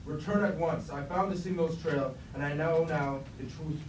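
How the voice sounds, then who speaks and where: A man's voice: on the high side, normal speed, close to an everyday voice. An actor playing a solemn, war-weary robot king, grave and imperative in a staged reading of a tragedy. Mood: urgent, grave. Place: indoor staged reading at a university. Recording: roomy, distant stage recording with light noise.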